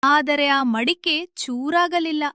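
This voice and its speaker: A woman's voice: sits high, slow pace, bright, forceful tone, dry recording, clean studio sound. A woman narrating a scripted moral story.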